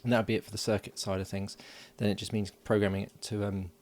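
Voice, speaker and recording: A middle-aged man narrating his electronics build at a home workbench, relaxed and filling pauses with ums and uhs. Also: on the low side. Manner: relaxed, thinking aloud with many fillers. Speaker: electronics hobbyist.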